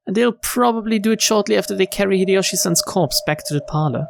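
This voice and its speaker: A man's voice: on the high side, vocal fry, a little hiss or hum. A man streaming a game, reading its narration aloud expressively with wry, casual commentary. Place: game stream with music behind.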